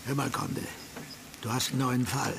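Casting: actor as gruff male police boss, gravelly, clipped and bossy, radio crime drama with noisy background. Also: mid-range voice, fairly even intonation, normal speed, close to an everyday voice. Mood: urgent, grumbling. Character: gruff, blunt police chief briefing his detective. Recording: noisy room ambience behind.

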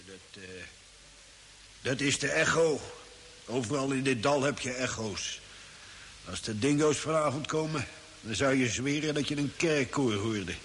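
An actor playing an easygoing old desert hermit in a radio drama, folksy and hesitant in his unhurried talk. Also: mid-range voice, a bit bright, close to an everyday voice. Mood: kindly, coaxing. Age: older. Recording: hissy old radio recording with long reverb.

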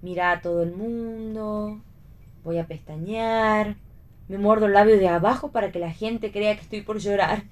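A woman's voice: mid-range voice, fairly even intonation, moderate pace, lightly acted. Woman playing a teasing, theatrical Argentine actress. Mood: playful, theatrical. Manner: ironic, playful with words. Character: theatrical, teasing actress who needles her friend. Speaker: actress in a read radio play. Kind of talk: read radio theatre dialogue.

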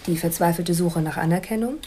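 An actress playing an analytical police profiler in a radio drama, speaking in a measured, formal, clinical way. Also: female voice, fairly low pitch, warm tone, slight background noise, lightly acted. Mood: clinical, assured. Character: analytical police profiler presenting a personality assessment. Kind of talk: German radio drama acting.

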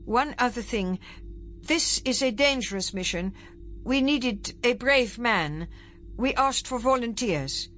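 A woman's voice: mid-range voice, lively intonation, normal speed, fairly bright tone, faint music behind, pitched above the performer's own voice, natural voice. A woman voicing a careful, formal male space official in an audiobook, precise and slightly foreign in accent. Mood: hesitant, careful.

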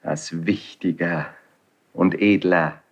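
An actor playing a passionate, defiant young Spanish prince stricken with grief, declaiming classical verse in a 1970s German radio drama. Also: male voice, deep voice, steady tone, slow pace, creaky, heavily acted. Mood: grieving, reproachful. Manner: declamatory, impassioned. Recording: dull 1970s radio studio recording.

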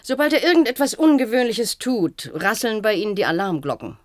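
An actress playing a strict, cold matron in a German radio play, giving orders in a brisk, condescending, authoritative manner. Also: female voice, mid-range voice, animated, close to an everyday voice. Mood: brisk, instructing. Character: strict, cold institutional matron with a callous streak. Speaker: head matron instructing a new attendant.

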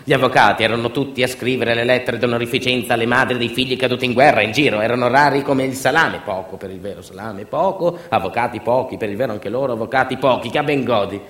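A man's voice: medium pitch, fast, hardly any pauses, a bit bright. A man performing a theatrical monologue, a dramatic storyteller who repeats phrases like a chant and drops in colloquial asides. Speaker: stage actor and storyteller. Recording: echoey room with music behind. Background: music.

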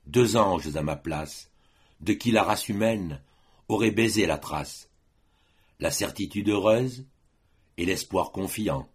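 Slow deep-voiced guy reading poetry out loud, kinda husky, very solemn and dramatic. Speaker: volunteer audiobook reader.

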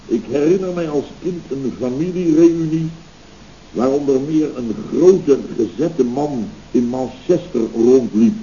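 A man's voice: mid-range voice, a little slow, dark tone, lightly acted. A man playing a pompous, indignant, self-satisfied building contractor in a scripted radio comedy, blustering and rambling in grand words. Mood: blustering, evasive. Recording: dull, noisy old radio recording.

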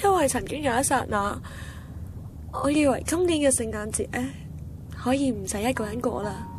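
A woman's voice: fairly high pitch, normal speed, a little mellow, a little hiss or hum, natural voice. An actress playing a heartbroken, tipsy young woman with a Hong Kong Cantonese accent, petulant and emotional, in a radio drama. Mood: wistful. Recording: music behind the dialogue.

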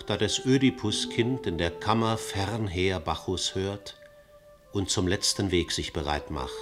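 A man reciting the narration of a verse radio play in a measured, solemn way. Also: lowish voice, a bit bright.

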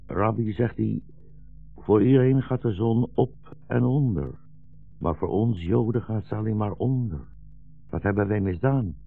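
Deep, gravelly old rabbi. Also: male voice, faint music behind. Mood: sombre, reminiscing. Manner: slow, solemn, storytelling. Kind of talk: 1960s radio drama acting. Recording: dull, roomy 1960s radio recording.

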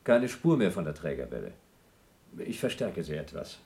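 A man's voice: very deep voice, sing-song, normal speed, darkish tone, heavily acted. An actor playing a restless, wry male astronaut on a moon station in a radio play.

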